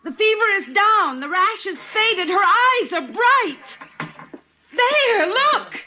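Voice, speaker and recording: A woman's voice: very high voice, animated, slow, heavily acted. A woman playing an impassioned, defiant frontier doctor in a 1950s radio Western. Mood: triumphant. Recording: muffled, hissy old radio recording.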